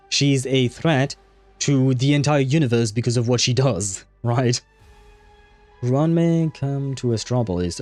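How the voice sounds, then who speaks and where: A man's voice: medium pitch, a little mellow, no echo. A man chatting casually on a gaming livestream, rambling and thinking aloud as he goes. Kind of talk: casual livestream chat about a game.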